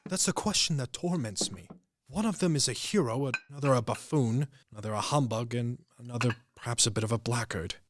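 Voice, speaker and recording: A man acting in a radio play as a vain, tormented gentleman war hero, with a pompous, theatrical delivery. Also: mid-range voice, brisk, noisy background, close to an everyday voice.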